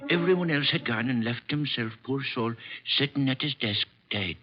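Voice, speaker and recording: A man's voice: medium pitch, expressive, normal speed, very noisy, close to an everyday voice. Humble, respectful working man played by a man. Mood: sorrowful. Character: humble, sorrowful male building worker. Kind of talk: old-time radio drama acting. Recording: dull vintage radio recording, music.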